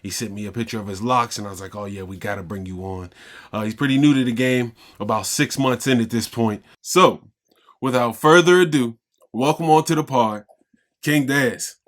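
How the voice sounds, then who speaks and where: A man's voice: medium pitch, normal speed, fairly bright tone. A young man from Pittsburgh hosting a casual podcast interview, upbeat and slangy with hype greetings. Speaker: podcast host.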